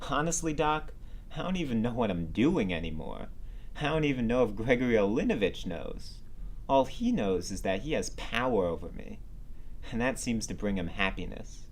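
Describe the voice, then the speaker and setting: A man playing a despairing, world-weary moth in a storytelling monologue, rambling and halting. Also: mid-range voice, sing-song, slight background noise, natural voice. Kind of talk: deadpan storytelling joke monologue. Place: student TV studio.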